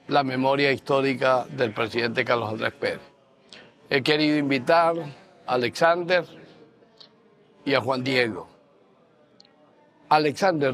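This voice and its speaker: A man's voice: mid-range voice, fairly bright tone. An older man from Venezuela hosting a casual interview at a dining table, echoing his guests' answers back. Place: bright room at a dining table. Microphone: lapel mic.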